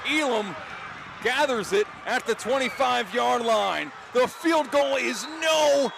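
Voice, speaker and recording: A man's voice: extremely high pitch, expressive, takes it slowly. An American man doing live radio play-by-play of a football game, with emphatic, dramatic calls. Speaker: play-by-play announcer. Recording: clear outdoor stadium noise behind. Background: outdoor stadium ambience.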